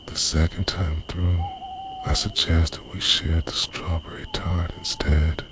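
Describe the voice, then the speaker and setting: Guy doing a devoted obsessive boyfriend asmr, super low voice, whispery and intimate, swings up and down a lot. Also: wildly swooping pitch, noisy background, full character voice. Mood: earnest, devoted. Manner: hushed, slow, intimate, often slipping into a whisper. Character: obsessive, devoted boyfriend who secretly time-travels, confessing to lover. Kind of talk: ASMR roleplay.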